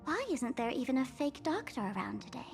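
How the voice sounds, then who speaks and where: A woman's voice: high voice, expressive, a little fast, faint music behind. A woman voicing a mischievous, self-assured young female trickster in a game, coy and mocking in a theatrical way. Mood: sly, mocking. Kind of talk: video game voice acting.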